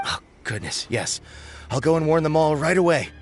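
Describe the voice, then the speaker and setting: A man's voice: fairly high pitch, big pitch swings, normal speed, slight background noise. A man playing a devout, trusting shrine visitor in a video game, polite and given to exclaiming. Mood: startled, grateful.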